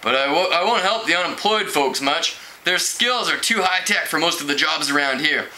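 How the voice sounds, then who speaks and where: An open, friendly young tech worker read by a man from a novel talks casually and easily. His tone is very bright with lively pitch, over a noisy recording. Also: moderate pace. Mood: resigned. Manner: casual, easygoing. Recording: clearly noisy home recording.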